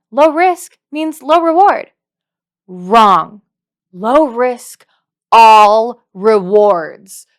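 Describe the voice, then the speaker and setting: A woman presenting a scripted tutorial to camera as a dungeon master, emphatic and playful, with dry asides. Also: medium pitch. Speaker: video host, dungeon master.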